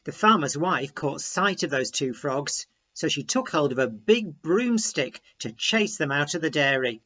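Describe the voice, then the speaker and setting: A man reading a children's story aloud in a deliberate, expressive storytelling manner. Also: on the high side, lo-fi sound.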